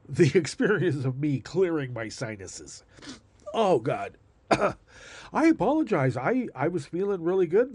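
Man, host, stuffed-up nose, sarcastic, rambling, dark tone. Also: a little high, dry recording. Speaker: podcast host. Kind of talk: casual monologue.

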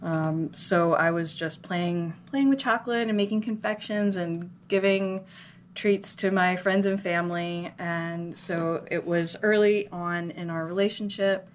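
A woman's voice: on the low side, steady tone, measured pace. An American woman from Minnesota telling her story as an interview guest in an earnest, reflective, conversational way. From Minnesota, United States. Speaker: interview guest, chocolate business owner. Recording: clear background noise, room ambience.

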